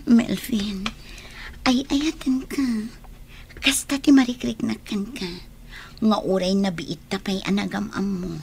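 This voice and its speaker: A woman's voice: mid-range voice, steady tone, unhurried, creaky, darkish tone, breathy edge, exaggerated voice. An Ilocano Filipino woman playing a clingy, coaxing, lovestruck young woman in a radio drama. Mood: amorous.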